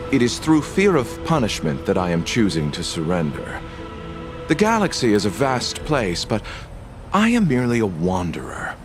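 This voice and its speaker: A man voicing a suave, enigmatic traveling merchant in a video game, polite and theatrical with gentle irony. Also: lowish voice.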